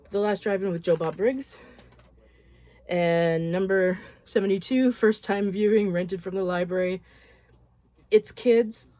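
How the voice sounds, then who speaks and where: Hoarse woman recapping films. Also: fairly low pitch, warm tone. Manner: chatty, loose and frank, swears casually. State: hoarse from a cold. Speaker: horror film vlogger. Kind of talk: casual movie recap.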